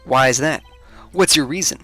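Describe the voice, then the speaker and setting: A man voicing an assertive defense attorney in a video game, pressing a witness with emphatic, drawn-out questions. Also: mid-range voice, fairly bright tone, soft background music, close to an everyday voice. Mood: pressing, accusing.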